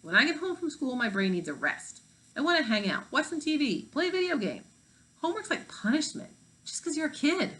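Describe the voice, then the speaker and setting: A woman's voice: high voice, big pitch swings, fairly quick, pushed and bright, voice pushed up, exaggerated voice, poor audio. A cocky fifth-grade boy acted out by a woman talks bluntly and with punchy emphasis. He is a slangy storybook rebel who thinks himself the cool one. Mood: indignant.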